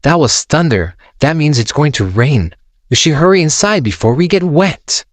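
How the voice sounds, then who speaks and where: A man's voice: on the high side, expressive, moderate pace, dry recording, voice pushed up, natural voice. Patient old grandpa pig, as a man reads him. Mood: explaining.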